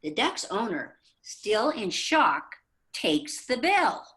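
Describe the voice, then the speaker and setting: A woman narrating a short comic story for a readers theater program. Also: mid-range voice. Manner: slow, expressive storytelling.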